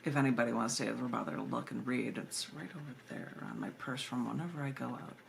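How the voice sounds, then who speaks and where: A woman's voice: rumbling low, fast talker, gravelly. A woman poet and editor chatting informally as she introduces her own readings, rambling into playful asides. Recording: noisy amateur camera recording.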